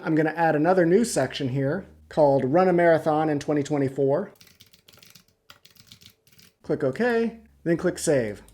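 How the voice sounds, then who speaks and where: A man's voice: fairly high pitch, warm tone. Man narrating a tutorial over music. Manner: explanatory, methodical. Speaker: host of a software tutorial. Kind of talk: step-by-step screen tutorial narration. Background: background music.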